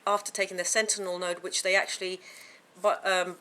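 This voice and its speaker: Croaky woman chatting rambly to camera. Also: on the low side, little pitch movement, brisk, pushed and bright. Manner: rambling, chatty, many fillers and restarts. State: hoarse, croaky from a sore throat. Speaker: vlogger. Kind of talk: casual chat to camera.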